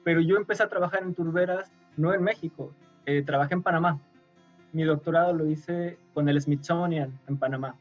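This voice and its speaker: Male guest, from Mexico, patient explainer, slightly high voice, dull lo-fi sound with faint music. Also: fairly quick. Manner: patient explainer with frequent fillers. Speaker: guest scientist. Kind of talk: radio interview, explaining.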